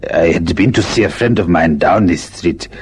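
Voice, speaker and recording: An actor with a South African accent playing a cautious, deferential servant in a radio crime drama, halting and formal. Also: male voice, deep voice, darkish tone, slight background noise, lightly acted. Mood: deferential, nervous. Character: deferential, cautious long-serving African houseboy under questioning.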